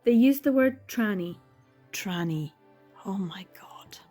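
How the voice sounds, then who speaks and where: A woman's voice: mid-range voice, lively intonation, slow pace, warm tone, soft background music. Exasperated young woman reviewing manga. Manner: exasperated, sighing and laughing, dramatic repetition. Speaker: book vlogger. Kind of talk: casual reading vlog commentary.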